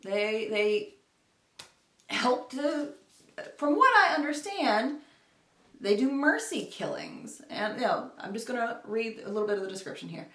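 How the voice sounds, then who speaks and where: A woman's voice: on the high side, expressive, unhurried, fairly bright tone. A woman talking casually about books to camera in a chatty, rambling way, often correcting herself as she goes. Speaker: book vlogger. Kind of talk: casual book chat to camera. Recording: roomy, echoey home recording.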